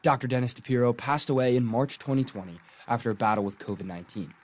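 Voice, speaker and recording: A man's voice: mid-range voice, fast, a little mellow, a little hiss or hum. A young adult man with an American accent reading scripted narration as a student TV news reporter.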